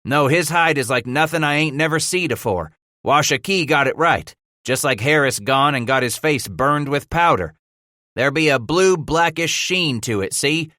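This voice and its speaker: Man acting a spirited young frontier trapper, pitched up. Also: moderate pace, sharp tone, no room sound, studio quality. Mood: amused, explaining. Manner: rustic mountain-man dialect with folksy grammar. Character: young frontier fur trapper leader, spirited and good-humored.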